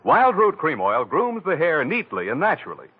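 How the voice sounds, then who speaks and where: A male radio announcer reads an advertisement with a lively, swooping emphasis and a slightly husky edge. The sound is thin and phone-like, like an old radio broadcast with faint music. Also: moderate pace. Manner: smooth, emphatic pitchman. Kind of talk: scripted commercial read.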